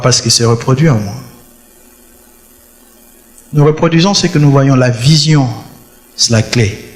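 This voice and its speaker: A man preaching a sermon in a church, stressing his points and repeating key phrases. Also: mid-range voice. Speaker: pastor. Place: church hall. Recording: long hall reverb.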